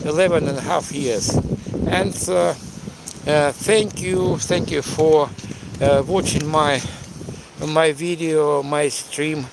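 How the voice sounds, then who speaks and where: A Ukrainian-accented man whose first language is Russian, live-streaming outdoors in the rain and wind. He talks haltingly, with many "uh" fillers. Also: a little high, fairly even intonation, creaky. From eastern Ukraine. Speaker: live-stream host and tour guide. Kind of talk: live-streamed walking chat.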